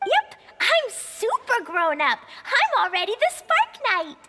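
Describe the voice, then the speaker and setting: A woman voicing an excitable, chirpy childlike girl in a video game cutscene. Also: very high-pitched, takes it slowly, a little hiss or hum, exaggerated voice. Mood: eager, excited. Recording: prominent game music behind.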